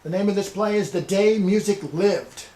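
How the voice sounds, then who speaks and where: Higher-voiced man in an echoey room. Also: moderate pace, mellow. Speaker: playwright presenting his scripts. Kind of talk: semi-scripted video presentation. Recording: roomy echo with background hiss.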